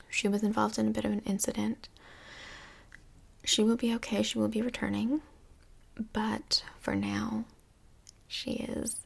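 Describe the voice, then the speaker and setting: A woman playing a kindly, tactful couples' counselor in a soft-spoken ASMR role play, whispery, breathy and gently reassuring, with some hesitation. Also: mid-range voice, full character voice.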